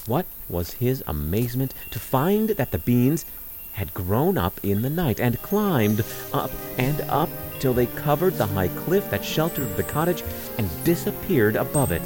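A man narrating a scripted fairy tale as a storyteller. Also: mid-range voice.

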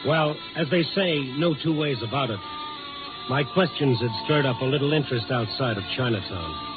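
A man's voice: medium pitch, animated, moderate pace, loud music behind the voice, close to an everyday voice. A man playing a wry, tough newspaper reporter in a 1950s radio noir drama, with a clipped, sardonic delivery. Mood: brooding, weary. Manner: sardonic, hard-boiled, clipped. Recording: narrow-band vintage radio broadcast.

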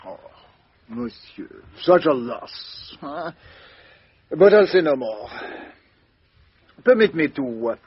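A fussy, precise Belgian detective who drops French phrases into his polite talk. His voice is husky and airy and sits a touch high. It plays from a dull, muffled 1950s radio broadcast. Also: sing-song, slow pace, pauses often, dry recording, heavily acted. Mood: courtly. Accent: French-style put-on accent. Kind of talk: 1950s radio drama acting.

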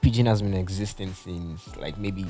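A man's voice: deep voice, normal speed, mellow, faint music behind. A man with a Nigerian accent, explaining things in a casual conversation and often checking that he is understood. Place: living abroad in China.

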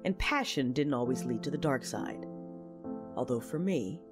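A woman's voice: a bass voice, animated, moderate pace, loud music behind the voice. A woman acting as an intense, passionate Jedi knight, narrating her own story in a confessional, introspective audio drama style. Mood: brooding. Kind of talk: first-person audio drama narration with dialogue.

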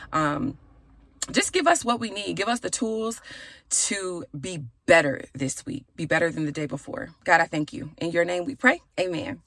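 A woman in her thirties hosting a podcast from her car, chatty and raspy, saying a prayer for her listeners. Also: low-pitched, no echo. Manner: chatty and warm, with "y'all" and "okay". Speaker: podcast host. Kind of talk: casual chat with prayer.

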